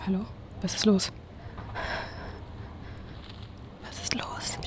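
A woman's voice: moderate pace, lightly acted. An actress playing a cynical, anxious young woman in hiding, speaking in exasperated, sarcastic half-whispers in an audio drama. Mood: anxious, hushed. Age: young adult. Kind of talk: naturalistic audio drama dialogue.

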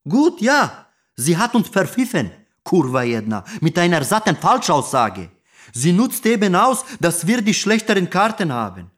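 An actor playing an angry, streetwise young gang member in a radio drama, crude, slangy and contemptuous. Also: male voice, high voice, a bit bright, heavily acted.

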